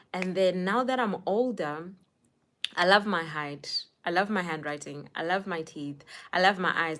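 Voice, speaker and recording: A woman's voice: fairly low pitch, a bit bright. A young woman from Zimbabwe hosting a casual livestream from home on her phone, greeting viewers by name and chatting with frequent laughs. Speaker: livestream host. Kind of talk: casual live chat reading viewer comments. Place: indoors at home.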